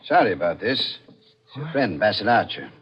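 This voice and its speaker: A man playing a blunt, matter-of-fact American police sergeant with a husky voice in a 1940s radio drama. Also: on the low side, sing-song, moderate pace, full character voice. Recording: muffled vintage radio recording.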